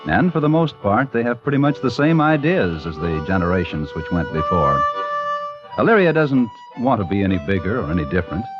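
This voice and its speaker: A man narrating a small-town story in folksy style for a 1940s radio show. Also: low-pitched. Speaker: announcer and narrator. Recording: muffled old radio with music.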